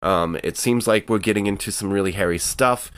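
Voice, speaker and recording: A man's voice: lowish voice, fairly quick, a bit bright, clear, resonant tone. A man playing a tabletop game, talking loosely and rambling with fillers. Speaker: player. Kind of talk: casual tabletop game chat.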